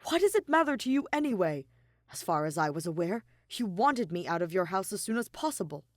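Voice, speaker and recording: A woman acts out an indignant young man from an audio story, dramatic and easily exasperated. The voice is loud and incredulous, leaping about in pitch and close to spluttering. The sound is dry and close. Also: very animated, fairly quick, no room sound.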